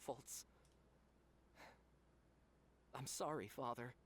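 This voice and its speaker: A man's voice: medium pitch, moderate pace, a bit bright, a little hiss or hum, lightly acted. A man voicing a remorseful, resigned and honest teenage boy in a video game.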